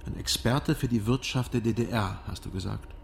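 Laconic detective, gravelly, understated, radio crime drama, noise in the back. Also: male voice, on the low side, a little fast. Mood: casual coaxing. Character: laconic, probing male private detective, quietly stubborn. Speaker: private detective.

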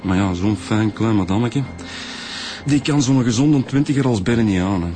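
A man's voice: on the low side, moderate pace, dark tone, natural voice. A man with a Flemish accent playing a down-to-earth, wry police detective in a radio drama.

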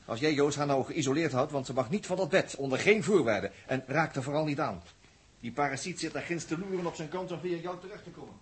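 An actor playing a wry, teasing and cheerfully bossy spaceship crewman in a 1960s radio drama, with a husky, rough voice. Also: on the high side, quick pace. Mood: brisk, commanding.

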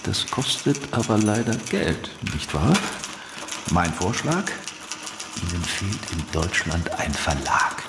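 An actor playing a self-important, scheming would-be publisher in a radio play, dictating pompously with muttered asides and self-corrections. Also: male voice, low-pitched, sing-song, takes it slowly, exaggerated voice. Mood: salesmanlike. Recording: roomy, noisy radio drama scene.

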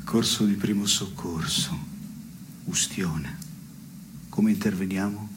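A man's voice: sits low, slow, background noise. An actor playing a pedantic, dejected man who needles his partner in absurdist stage dialogue. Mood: matter-of-fact. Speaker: stage actor performing a two-person play.

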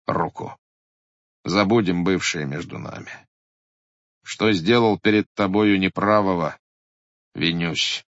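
A man's voice: deep voice, fairly bright tone, no room sound, exaggerated voice. A man reading a storybook voices a proud Cossack lord who speaks in a stern, commanding way. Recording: muffled lo-fi recording.

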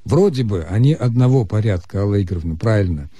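A man calmly explaining Russian grammar as the expert on a radio call-in show. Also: lowish voice, breathy edge. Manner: measured, lecturing. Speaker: language expert answering listeners.

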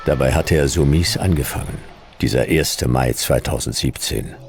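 A man playing a world-weary, sardonic fifty-year-old private detective, narrating a noir radio drama in a gravelly, laconic voice. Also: a bass voice, normal speed, background noise. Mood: bleak, weary. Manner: laconic, dry, clipped.

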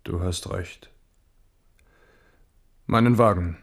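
A man playing a weary, aging, resigned king with a gravelly voice in a German radio play. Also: low voice, slow, heavily acted.

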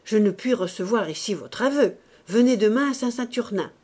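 A woman voicing a stern, forceful male priest with an urgent, commanding manner, in dramatic audiobook style. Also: mid-range voice, animated, normal speed, natural voice. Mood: violent command. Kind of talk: dramatic audiobook character acting.

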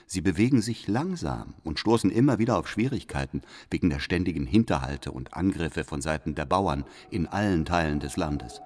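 Very deep-voiced, solemn secret informant. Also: male voice, darkish tone. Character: secretive informant reading out his urging, pious letter. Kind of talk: audio drama letter monologue.

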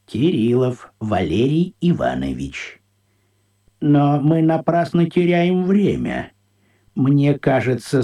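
Sneaky awkward polite cat, kids radio play, mellow and slow. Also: male voice, fairly high pitch, exaggerated voice. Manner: hesitant, evasive, awkwardly polite. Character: nervous secretive talking cat calling himself Valery Ivanovich. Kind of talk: radio play acting for children.

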